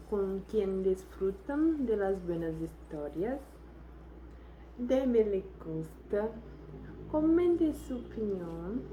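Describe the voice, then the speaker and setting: Woman host, Spanish, hesitant, slow, warm slightly high voice, faint background noise. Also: low-quality recording. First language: not Spanish. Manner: hesitant, apologetic, searching for words. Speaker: channel host. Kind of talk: casual channel intro.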